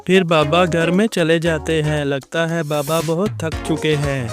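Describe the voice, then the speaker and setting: A man's voice: on the high side, level tone. A man narrating a comic cartoon story from a script, reading out all the characters' lines himself. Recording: music behind the voice. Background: music.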